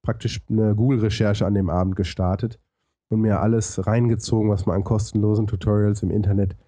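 Dark-voiced man with a lisp. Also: on the low side, steady tone, brisk, no room sound. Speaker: interview guest, podcaster and consultant. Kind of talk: conversational interview storytelling.

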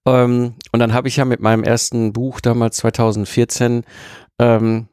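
A man's voice: medium pitch, completely flat delivery, normal speed, a little mellow, smooth, dry recording, clean studio sound. A man hosting a podcast, telling casual anecdotes in a chatty way with stumbling restarts. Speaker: podcast host.

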